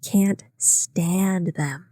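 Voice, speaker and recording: A woman's voice: on the low side, drawn-out words, mellow, no echo. A woman reading aloud, voicing a chatty, gossiping young woman with a raised, breathless voice. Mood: confiding.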